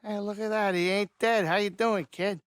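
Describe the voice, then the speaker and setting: A man playing a middle-aged alien pilot and saloon owner in a video game, anxious but kindhearted, with expressive, folksy, wry grumbling. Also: high-pitched, moderate pace, smooth, no echo, studio quality. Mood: joking. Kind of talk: video game character acting.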